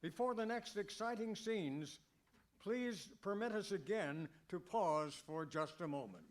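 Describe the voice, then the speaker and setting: An actor playing a formal, theatrical announcer of an old-time radio serial. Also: male voice, high voice, expressive, measured pace. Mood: grand.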